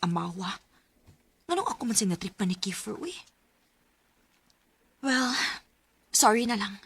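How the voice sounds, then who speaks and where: A woman's voice: medium pitch, sing-song, measured pace, fairly bright tone, slight background noise. A woman playing a sensible, loyal young student in a radio drama, earnest and conversational, with a Cebuano accent. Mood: wary.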